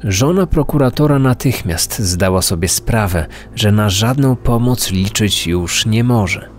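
A man's voice: lowish voice, expressive, measured pace. A man narrating a scripted true-crime podcast. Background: faint music.

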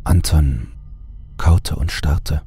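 A man narrating a scripted horror story in a measured way, with dramatic pauses. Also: extremely low pitch, gravelly, warm tone, slightly rough, faint music behind.

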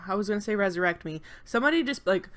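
A young woman with a blocked-up nose chats her way through a voice-over at home, nervous and apologetic, trailing off into fillers. Underneath the stuffiness her voice stays smooth and clear, sitting at an ordinary middle pitch. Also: lively intonation, racing, a bit bright. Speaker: channel co-host. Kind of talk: casual voice-over commentary on a game build.

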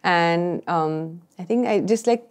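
A young woman chef and cafe owner answering interview questions in a relaxed, thoughtful way. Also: on the low side, slow pace. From India.

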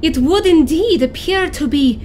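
An actress playing a composed, precise professor in a futuristic audio drama, formal and matter-of-fact. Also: female voice, sits high, lively intonation, measured pace, background noise. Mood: puzzled, precise.

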